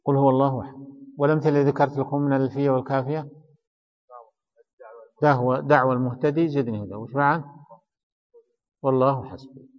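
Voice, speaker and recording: A man's voice: medium pitch, steady tone, quick pace, pauses often. A man teaching grammar in a study circle, explaining each line, repeating key phrases and quizzing his student. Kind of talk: traditional study-circle lesson explaining a text. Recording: reverberant hall, dull lo-fi sound.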